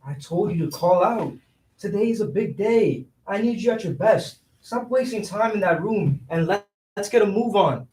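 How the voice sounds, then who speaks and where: Actor as carefree cocky young man, high male voice, slangy, breezy, echoey distant stage recording. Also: expressive, normal speed, a little mellow. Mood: confident, impatient. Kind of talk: staged reading of a play. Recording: echoey, distant, faint noise.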